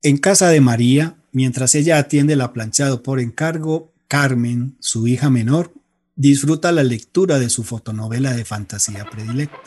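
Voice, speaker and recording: A man's voice: medium pitch, moderate pace, darkish tone, close to an everyday voice. A man giving scripted narration for a radio drama. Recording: music behind the voice.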